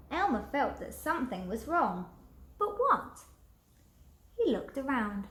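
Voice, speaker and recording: A woman reading a children's picture book aloud expressively and deliberately, pausing to put questions to young listeners. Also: on the high side, echoey room. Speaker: children's storybook reader.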